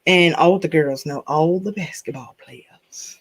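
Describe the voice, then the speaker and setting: A woman's voice: low voice, a little slow. A woman hosting a podcast, chatting casually, teasing her guest and laughing often. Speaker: podcast host. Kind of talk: casual chat.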